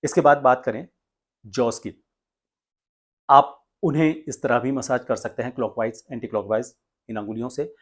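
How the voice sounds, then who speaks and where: A man's voice: mid-range voice, fairly even intonation, normal speed, long pauses, slightly rough. Male voice coach giving step-by-step instruction. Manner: explanatory, demonstrates step by step. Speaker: voice coach and presenter. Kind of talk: instructional video tutorial.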